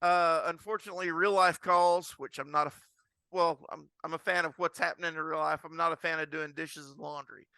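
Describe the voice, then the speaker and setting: A man's voice: on the high side, steady tone, brisk, bright, forceful tone, clear, resonant tone, no room sound. A man hosting a podcast, talking casually and informally. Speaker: podcast host. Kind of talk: casual podcast chat and commentary.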